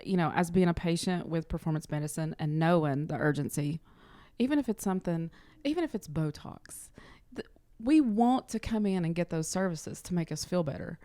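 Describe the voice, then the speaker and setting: A woman with a fairly low voice talks as a guest in a casual interview. She shares small anecdotes in a conversational way, and the sound is dry with no room on it. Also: animated, normal speed, mellow, dry recording. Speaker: interview guest, clinic front office worker. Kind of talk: casual chat, telling anecdotes.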